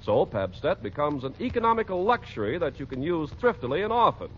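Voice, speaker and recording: A man's voice: a little high, big pitch swings, brisk, slight background noise. Swooping, emphatic vintage male radio announcer. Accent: American. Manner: polished, emphatic salesmanship. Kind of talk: 1940s radio announcing and scripted narration. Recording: muffled vintage 1940s radio broadcast. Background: music.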